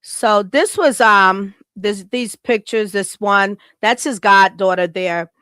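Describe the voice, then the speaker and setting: A woman's voice: mid-range voice, slow, pushed and bright, clean tone, dry recording. An American woman hosting a podcast, giving a casual tribute that is partly read aloud. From Chicago, United States. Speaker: podcast host.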